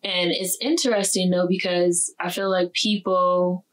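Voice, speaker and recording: Female host, low-ish voice, chatty casual interviewer who quotes others. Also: flat delivery, measured pace. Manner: chatty, acts out what others say.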